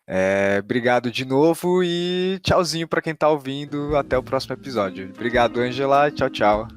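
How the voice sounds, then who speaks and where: A man's voice: medium pitch, lively intonation, normal speed, no echo, soft background music. A Brazilian man hosting a podcast interview in an informal, conversational way with tentative phrasing. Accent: Brazilian Portuguese. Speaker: podcast host.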